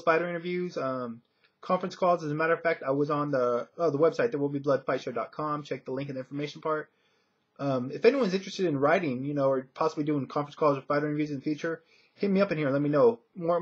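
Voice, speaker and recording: Guy doing a casual fight predictions vlog, kinda high husky voice, halting, muffled webcam sound. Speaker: fight commentator and video blogger. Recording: dull lo-fi webcam audio.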